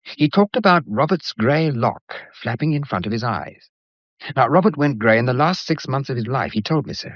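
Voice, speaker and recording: Actor as a wry English gent, lively intonation. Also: male voice, medium pitch, normal speed, no echo, close to an everyday voice. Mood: breezy, explanatory. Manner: wry, breezy, clipped. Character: wry, self-deprecating painter and amateur sleuth, teasing suitor. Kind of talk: mid-century radio drama acting, conversational. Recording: dull, muffled vintage radio recording.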